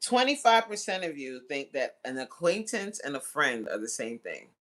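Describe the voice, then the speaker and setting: A woman in her forties hosts a casual livestream chat. Her voice is bright and forceful, with very lively swings in pitch. She talks in a teasing, slangy, giggly way. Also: normal speed. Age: 40s. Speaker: livestream host. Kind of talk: casual chat with live viewers reading comments.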